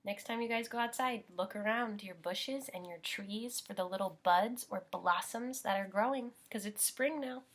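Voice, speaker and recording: A woman's voice: mid-range voice, moderate pace, hardly any pauses. An American woman teaching young children as she reads a picture book aloud, explaining simply and putting questions to her listeners.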